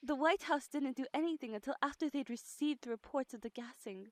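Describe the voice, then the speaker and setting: Very high-voiced earnest female operative acted by a woman. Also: no echo, natural voice. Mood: earnest, troubled. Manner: earnest, emotional, unhurried. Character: idealistic, compassionate young female operative, burdened by guilt. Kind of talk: radio drama acting. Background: background music.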